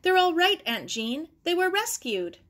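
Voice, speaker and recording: A woman's voice: extremely high pitch, big pitch swings, unhurried, a bit bright, voice pushed up, heavily acted. A woman voicing a plucky, reassuring young girl in a storybook reading. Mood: eager. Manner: confident, reassuring.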